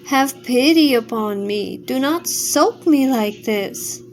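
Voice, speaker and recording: Nana doing a squeaky little half-chick begging, high and loud. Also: female voice, lively intonation, slow, slight background noise, exaggerated voice. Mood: pleading. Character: pleading little half-chick, male, vain.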